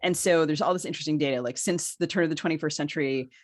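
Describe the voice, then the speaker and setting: A woman's voice: low-pitched, racing, no echo. Woman podcast co-host, close dry sound. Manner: discursive, thinking aloud through long questions. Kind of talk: conversational literary panel discussion.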